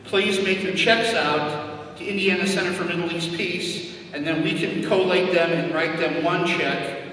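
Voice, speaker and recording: A man introduces guests at a public event in an earnest, formal way. His voice sits a little high and his phrasing is deliberate. He is heard from off the mic in a long, heavy reverb with faint noise. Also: steady tone, bright, forceful tone. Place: large reverberant indoor venue. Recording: echoey hall from far off, faint noise.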